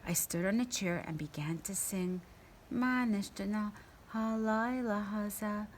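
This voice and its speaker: A woman narrates a children's picture book with expression, acting out the lines of dialogue as she goes. Her voice sits at a medium pitch, and there is noticeable noise and room ambience behind her. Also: measured pace. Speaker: story reader. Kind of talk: read-aloud children's storybook narration.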